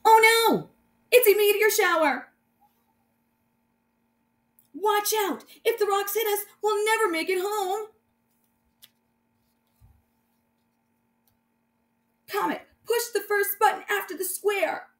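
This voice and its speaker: A woman's voice: very high voice, measured pace, pauses often, echoey room, voice pushed up. A woman voicing a bold, dramatic boy space hero in a children's storybook, in a theatrical, exclamatory manner. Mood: alarmed. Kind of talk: children's storybook read-aloud.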